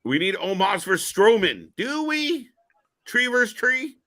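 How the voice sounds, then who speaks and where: A man from Canada co-hosts with lively banter and teasing jokes. His voice is bright and sits fairly high, with a pitch that swings widely. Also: unhurried, dry recording, studio quality. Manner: joking, teasing back-and-forth. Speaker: co-host. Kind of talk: casual banter.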